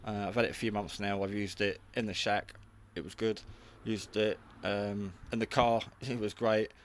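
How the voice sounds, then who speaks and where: A man's voice: fairly low pitch, level tone, normal speed. A man giving a casual, unscripted hobby radio review in a rambling, matter-of-fact, modest way.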